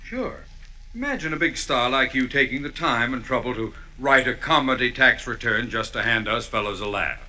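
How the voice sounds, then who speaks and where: A man's voice: mid-range voice, animated, moderate pace, pushed and bright. A man playing a grateful, easily amused official in a 1940s radio comedy, on an old noisy recording.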